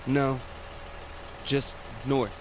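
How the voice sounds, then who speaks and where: A man's voice: medium pitch, little pitch movement, extremely slow, noisy background. An actor playing an anxious eighteen-year-old boy on the run in an audio drama. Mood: guarded, polite.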